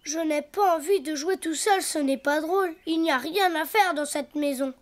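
A woman voicing a cheerful, curious little boy donkey in a cartoon, eager and lively. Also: high voice, moderate pace, lightly acted.

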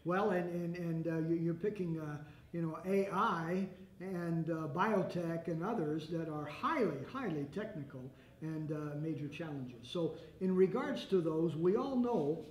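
An older man hosting a radio interview at a hotel, asking questions in a halting way with many restarts. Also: on the high side. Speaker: radio host. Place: hotel meeting room by windows. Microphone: desk microphone, headphones. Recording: roomy with faint ambient noise.

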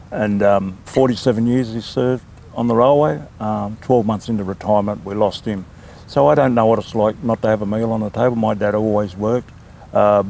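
An older Australian man from New South Wales, a land council chairman answering interview questions in a talkative, unhurried way with frequent ums. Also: on the low side, gravelly, a little mellow, slight background noise. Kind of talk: casual interview.

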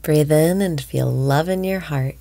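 Slow, low-voiced woman guiding a meditation. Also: creaky, warm tone, no echo. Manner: slow soothing delivery, drops into whispers. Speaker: meditation guide. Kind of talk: guided breathing meditation.

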